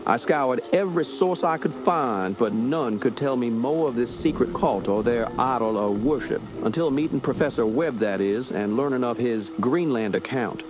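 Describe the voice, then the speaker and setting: An actor playing a courteous, skeptical police inspector with a US Southern accent, speaking in a folksy, measured way in a radio drama. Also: male voice, mid-range voice, expressive, background noise, close to an everyday voice. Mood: courteous, grave.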